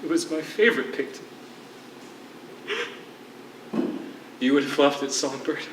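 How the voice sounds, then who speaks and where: A man acting an anguished, defiant imprisoned writer who grieves his wife, speaking in an earnest, halting, poetic way in a stage play. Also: a little high, fairly even intonation, slight background noise, lightly acted. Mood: wistful, reverent. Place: theatre stage. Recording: echoey stage recording from far off.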